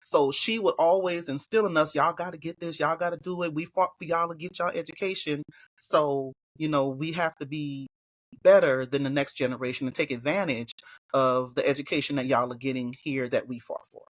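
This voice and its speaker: A woman from the South Carolina Lowcountry with a Gullah Geechee accent, talking as a podcast guest. She tells stories in a relaxed, unhurried way and slips into other people's voices when she quotes them. Her voice is recorded close up and dry, with no room sound around it. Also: no echo, rough recording. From Hilton Head Island, South Carolina. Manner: relaxed storytelling, quoting others in lively voices. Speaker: guest educator. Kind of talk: casual interview conversation.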